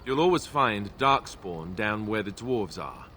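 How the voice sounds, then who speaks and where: A boyish young warrior from a fantasy video game. His voice sits low, with a wry, sardonic, chatty delivery. Clear background noise runs under the dialogue. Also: expressive, a little slow, pushed and bright. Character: young male warrior, wry, boyish, self-deprecating comrade.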